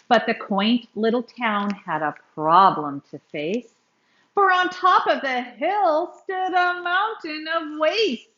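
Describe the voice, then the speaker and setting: A woman reading a children's story aloud, expressively, with dramatic emphasis and drawn-out words. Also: high voice, a bit bright, reverberant, highs cut off. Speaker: children's book reader.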